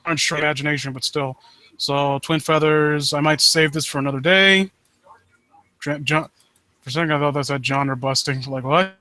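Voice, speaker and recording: A man hosting a casual live stream, talking in a halting, rambling way with joking, foul-mouthed asides. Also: a little high, fairly even intonation, pushed and bright, no room sound. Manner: rambling, halting, self-interrupting. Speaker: livestream host.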